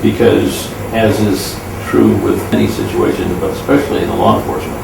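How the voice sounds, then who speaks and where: A man explaining a problem at a public meeting in a measured, methodical way. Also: low voice, huge pitch swings. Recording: echoey room, distant mic, clear noise.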